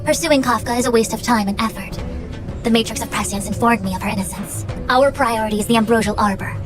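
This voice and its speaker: An actress voicing a haughty, brisk young female master diviner in a video game, formal, articulate and authoritative. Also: fairly high pitch, natural voice. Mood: grave, brisk. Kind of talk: scripted video game voice acting. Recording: game music underneath.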